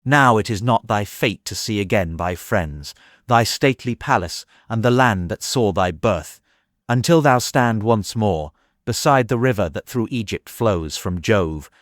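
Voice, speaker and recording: Deep, solemn ancient sea god. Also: male voice, no room sound, clean studio sound. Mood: stern, solemn. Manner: grave, archaic, declamatory. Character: aged sea god and seer, wily, solemn, reluctant. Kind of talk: dramatized epic audiobook acting.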